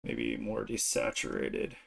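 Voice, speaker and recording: A man streaming his art, thinking aloud in an informal, unhurried way as he works. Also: fairly low pitch, sing-song, vocal fry, fairly bright tone, a little hiss or hum. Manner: informal, unhurried musing to self while drawing. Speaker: streamer and artist. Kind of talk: casual art livestream commentary, thinking aloud.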